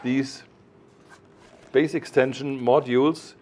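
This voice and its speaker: A man explaining technical matters informally as a product designer, in a didactic, emphatic way with halting phrasing. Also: mid-range voice, steady tone, darkish tone.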